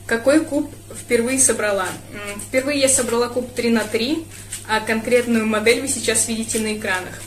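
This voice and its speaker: A woman's voice: a little high, steady tone, normal speed, reverberant. A young woman from Russia answering viewer questions in a casual vlog, thoughtful and hesitant, with frequent fillers. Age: young adult. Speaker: speedcuber vlogger.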